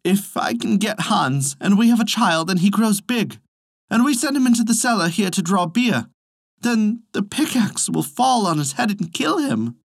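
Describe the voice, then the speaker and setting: A reader voicing a weepy, foolish young woman who wails her fears in a high, lamenting voice. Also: big pitch swings, moderate pace, dry recording, studio quality. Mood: tearful.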